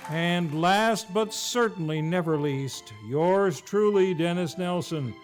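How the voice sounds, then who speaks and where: Male vintage radio host: high voice, slow showy announcing, faint music behind. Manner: showy, grandly enunciated. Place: theater stage, live show.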